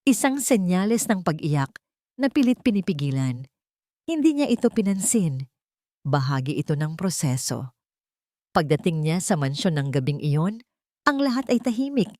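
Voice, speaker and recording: A woman narrating a scripted romantic drama story in a measured, deliberate way with dramatic pauses. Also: lowish voice, sing-song, a little mellow, no room sound, studio quality. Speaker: narrator of romance audio stories.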